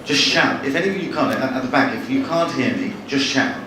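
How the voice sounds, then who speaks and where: A man, a novelist giving a live talk to an audience in a wry, chatty, halting way, with frequent restarts. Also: mid-range voice, animated. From born in Bangladesh, raised in London. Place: large hall with audience. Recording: echoey hall from far off, noisy.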